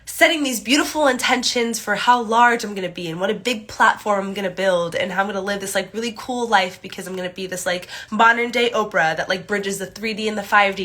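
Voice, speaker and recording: A young woman speaks through a stuffy, congested nose, her voice bright and forward. She chats casually into a phone held at arm's length in a small room, leaning on "like" and "um" as she goes. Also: mid-range voice. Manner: chatty, many "like" and "um" fillers, greets viewers brightly. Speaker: astrology livestream host. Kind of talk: casual livestream chat. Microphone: phone camera at arm's length.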